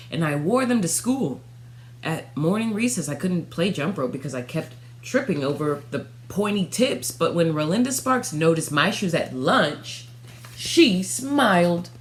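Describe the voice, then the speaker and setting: A young woman reading a children's storybook aloud, narrating expressively in the first person with dramatic pauses. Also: lowish voice. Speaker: storybook reader. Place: small furnished room at home.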